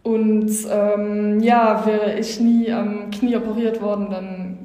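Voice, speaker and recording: A woman in her twenties from Belgium answers questions in an interview, pausing with frequent fillers. Her delivery is flat, barely moving in pitch. The office around her is echoey, with a long reverb. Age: 20s. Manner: hesitant, many fillers. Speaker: guest, coaching client.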